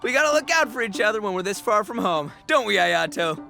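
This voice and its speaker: An actor playing a boisterous, good-natured young gang boss in a video game, talking in excitable bro slang with stammering bluster. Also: male voice, sits high, brisk, creaky, over music, heavily acted. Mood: excited, friendly. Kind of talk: animated video game voice acting.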